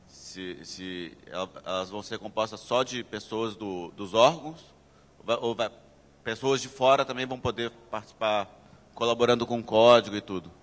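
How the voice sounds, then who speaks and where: A man asking a question from the audience in a halting, hesitant way. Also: fairly low pitch. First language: Portuguese. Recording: hall reverb from a lecture room.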